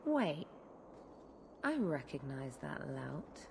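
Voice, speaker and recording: A criminal enforcer for a video game. Her voice is low, and her delivery is blunt, cynical and coolly menacing, over faint background noise. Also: very animated, slow, gravelly, darkish tone, heavily acted. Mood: blunt, impatient. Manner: sardonic, blunt, threatening. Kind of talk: video game cutscene acting.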